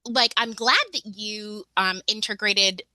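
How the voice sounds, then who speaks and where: Chatty webinar host woman, bright voice. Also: medium pitch, steady tone, measured pace, no room sound. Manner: chatty, lots of fillers and restarts. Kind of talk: casual online webinar hosting. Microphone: video call.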